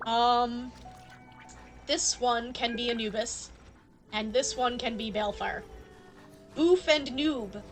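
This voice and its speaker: High-voiced chatty woman streamer over music. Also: takes it slowly, long pauses. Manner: chatty, playful, addressing viewers directly. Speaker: live game streamer. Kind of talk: casual chat to stream viewers during gameplay. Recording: background music behind voice.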